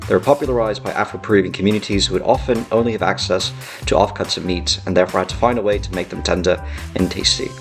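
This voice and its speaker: A man from Peru reading a scripted voice-over that explains dishes in a brisk, clear way. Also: low-pitched, steady tone, soft background music. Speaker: food guide.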